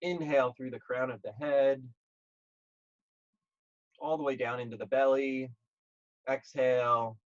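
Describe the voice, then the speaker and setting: A man leading a guided yoga class, speaking gently and calmly in short, measured phrases with pauses. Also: mid-range voice, lo-fi sound. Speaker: yoga instructor.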